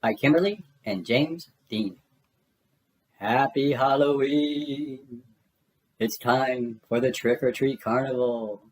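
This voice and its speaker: A woman reading children's storybooks aloud as an animated narrator, with exaggerated expression and music behind her. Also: extremely low pitch, slow pace, rough recording. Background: music and sound effects.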